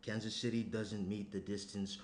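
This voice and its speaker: A male actor plays a self-absorbed young businessman in a relationship drama. His voice sits low, casual and a little grumbly. It is recorded in a roomy space with noticeable noise. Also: flat delivery, moderate pace, darkish tone, close to an everyday voice. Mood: grumbling. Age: young adult. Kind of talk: screenplay table read. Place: small room at a table. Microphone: shared tabletop microphone. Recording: roomy indoor sound, clear background noise.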